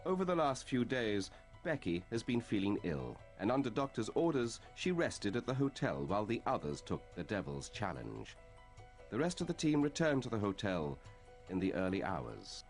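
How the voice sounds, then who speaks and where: A man giving measured, scripted narration for a documentary show. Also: lowish voice, very animated, soft background music.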